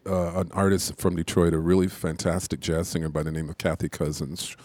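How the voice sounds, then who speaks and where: A man in a casual interview, talking about his music in a relaxed, halting way with many pauses. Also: deep voice, no echo. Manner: relaxed, hesitant with many ums. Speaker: musician guest.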